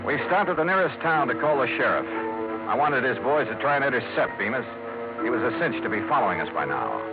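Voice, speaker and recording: A thin, tinny 1950s radio recording with music underneath carries a hard-boiled American detective. He is wry and tough, narrating and talking in clipped lines with very lively intonation. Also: mid-range voice, quick pace. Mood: tense narration. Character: wry, hard-boiled male private investigator. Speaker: lead actor in a detective radio serial.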